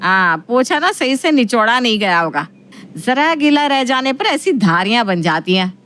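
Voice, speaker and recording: A woman voicing a bossy, fussy middle-aged mother-in-law in a moral story, quick to take offence. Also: on the high side, sing-song, a bit bright. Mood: indignant, fussy.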